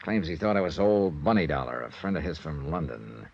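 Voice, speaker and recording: A man's voice: extremely low pitch, expressive, brisk, creaky, breathy edge, full character voice. A man acting in a 1950s American radio drama, playing a suspicious, hard-boiled insurance investigator with a clipped, sardonic delivery and an American accent. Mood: probing, calmer. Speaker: lead actor of a detective radio serial. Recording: dull 1950s radio recording.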